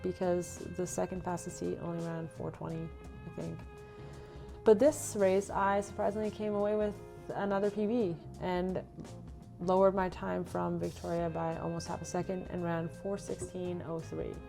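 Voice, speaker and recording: A woman's voice: on the low side, a little mellow. A young woman from Canada, a runner, chatting casually in a vlog and reading out her own race notes. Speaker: runner recapping her racing season.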